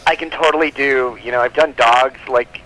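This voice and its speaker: A man's voice: medium pitch, moderate pace, bright, forceful tone, low-quality recording. A man talking casually as an interview guest, telling humorous stories in a chatty, self-mocking way with frequent "like". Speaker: interview guest, comic book creator.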